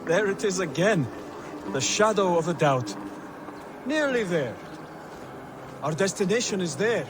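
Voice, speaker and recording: An actor playing an earnest, devout young male assassin mentor in a video game, speaking in measured, formal, instructive phrases. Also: high voice, very animated, noisy background. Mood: solemn, earnest. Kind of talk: dramatic video game acting.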